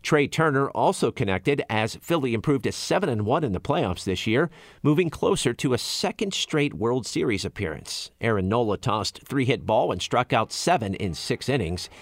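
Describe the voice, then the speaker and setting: An American man hosting radio news, reading scripted stories in a measured, explanatory way. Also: mid-range voice, lively intonation, no room sound.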